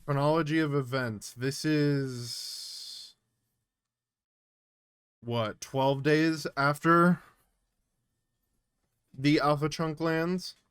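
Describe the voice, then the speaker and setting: A man's voice: a little high, fairly even intonation, very slow, long pauses, a bit bright, smooth, dry recording, clean studio sound. A man doing casual reaction commentary as a streamer, thinking aloud and reading text off the screen. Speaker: streamer reacting to an analog horror series.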